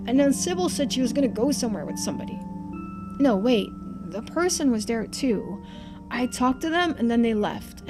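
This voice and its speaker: Woman voicing a high, anxious young boy. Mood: anxious, confused. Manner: halting, pleading, anxious. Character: distressed, confused young boy in a mystery game.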